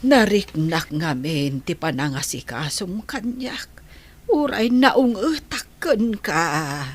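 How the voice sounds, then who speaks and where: A woman playing an ailing old grandmother who is softening, in an Ilocano radio drama, with an Ilocano accent. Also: fairly low pitch, animated, slow, breathy edge, heavily acted. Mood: softened.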